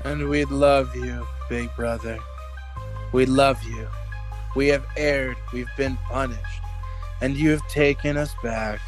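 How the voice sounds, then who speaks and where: A man's voice: medium pitch, fairly even intonation, slow pace, faint music behind, natural voice. A man playing a broken, terrified political prisoner under torture in a radio drama, anguished and repeating himself. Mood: broken devotion. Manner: anguished, pleading, repetitive.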